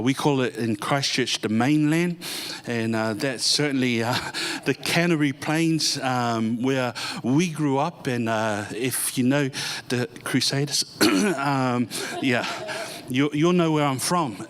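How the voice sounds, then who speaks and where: A man, a pastor introducing himself to a church audience in a halting, heartfelt way with many pauses and restarts. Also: medium pitch, a bit bright, clear, resonant tone. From born in Samoa, grew up in New Zealand.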